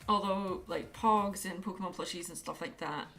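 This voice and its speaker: A woman's voice: lowish voice, moderate pace, gravelly, pushed and bright. A woman chatting casually on an art livestream as she draws, musing aloud and thinking as she goes. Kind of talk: casual livestream chat while drawing. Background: background music.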